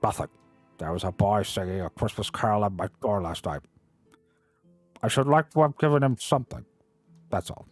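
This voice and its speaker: A man voicing an old male miser, overwrought and swinging between laughter and tears, in a breathless, excitable delivery. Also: fairly low pitch, normal speed, pauses often, dry recording, soft background music, lightly acted. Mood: wistful.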